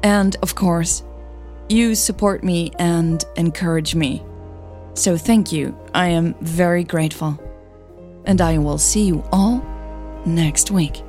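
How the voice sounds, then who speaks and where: A woman's voice: fairly low pitch, takes it slowly, darkish tone, soft background music. A woman narrating fiction and talking informally as the host of her podcast.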